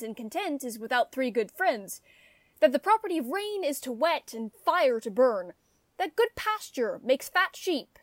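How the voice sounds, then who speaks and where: A woman's voice: sits high, dry recording. A woman playing an honest, contented, plain-spoken male shepherd in a Shakespearean radio play. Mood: plain, earnest.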